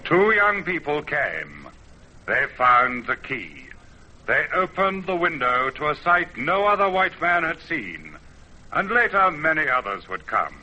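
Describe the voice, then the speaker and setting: A man's voice: a little high, big pitch swings, measured pace, sharp tone, exaggerated voice. A man playing an ancient, proud mountain spirit, speaking solemnly and theatrically in old-time radio drama. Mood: solemn, grand. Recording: dull vintage radio recording, music behind.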